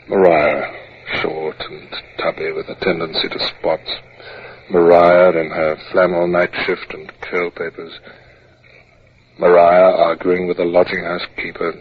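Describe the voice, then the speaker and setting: An actor playing a reserved, courteous middle-aged naval captain, formal and a little hesitant, in an old radio drama. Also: male voice, deep voice. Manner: formal, hesitant when speaking personally. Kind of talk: old-time radio drama acting and narration. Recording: muffled, noisy 1950s radio recording.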